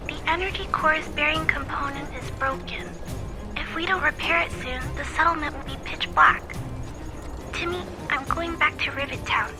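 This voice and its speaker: A responsible little girl from a video game, voiced by a woman, earnest and caring. She gives hurried instructions in a high voice over clear music. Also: natural voice.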